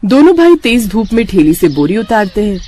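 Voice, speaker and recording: A woman tells a scripted cartoon moral tale as its narrator. Her pitch is medium and moves around in an animated way. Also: normal speed, a little hiss or hum. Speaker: storyteller.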